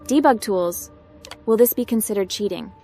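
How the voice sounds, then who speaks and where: A woman's voice: mid-range voice, moderate pace, natural voice. A woman playing a cocky young hacker pilot who talks herself through things in a roleplay game. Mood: wry, determined. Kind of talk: fully voiced roleplay game protagonist. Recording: loud music under the voice.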